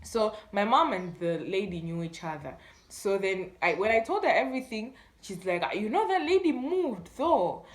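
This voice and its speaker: A woman's voice: medium pitch, expressive, normal speed. A young woman with a Malawian accent telling a story in casual chat, animatedly acting out the dialogue as she goes. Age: young adult. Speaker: YouTube storyteller. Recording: echoey room sound.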